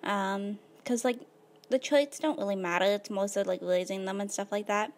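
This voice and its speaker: A woman with a slightly hoarse voice, casually narrating her life-simulation gameplay at a computer, rambling with lots of fillers. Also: medium pitch, steady tone, moderate pace. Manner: rambling, filler-heavy, laid-back. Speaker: gaming video host.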